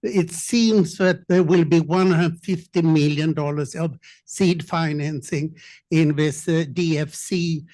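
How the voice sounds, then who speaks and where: A man giving remarks on a conference panel, halting, with many pauses and fillers. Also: on the high side, steady tone, a little mellow, no room sound. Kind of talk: roundtable remarks. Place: press club conference room.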